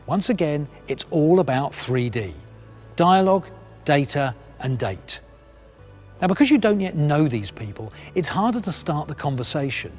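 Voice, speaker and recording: A man delivers scripted promotional narration in a slightly high voice that moves up and down with plenty of animation. His phrasing is polished and persuasive, leaning on key words for emphasis. Music plays along with him. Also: big pitch swings, moderate pace. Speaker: presenter of a car sales training film.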